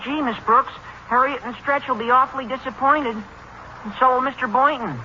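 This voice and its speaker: An eager teenage schoolboy, gushing and earnest, in a high, piercing voice. It is 1940s radio sitcom acting on a dull old recording with some hiss. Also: male voice, moderate pace. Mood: eager, pleading.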